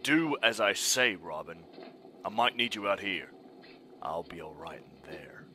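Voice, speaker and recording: A man's voice: low-pitched, animated, a little slow, noisy background. A man playing a grim, weary masked vigilante in a superhero fan dub, terse and brooding, with a whispery, strained voice. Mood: stern.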